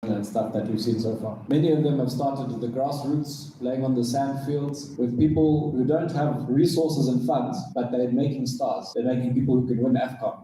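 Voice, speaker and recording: A man at a launch event speaking unscripted, pausing and searching for his words. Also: mid-range voice, steady tone, warm tone, slight background noise. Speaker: company executive at a launch. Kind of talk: recorded sound bite. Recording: roomy field recording from a distance.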